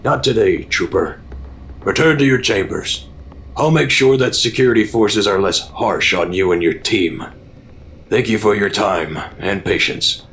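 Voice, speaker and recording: A somewhat low male voice acted by a man of a stern, skeptical admiral. He speaks formally and with authority in a military audio drama, over faint noise. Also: measured pace, a bit bright. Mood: authoritative.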